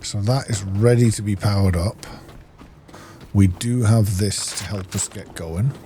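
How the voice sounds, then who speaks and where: Slow, low-voiced man muttering through a game. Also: dark tone, slight background noise. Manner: low-key, musing, talks to himself. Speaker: gaming video host. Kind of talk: casual gameplay commentary, thinking aloud.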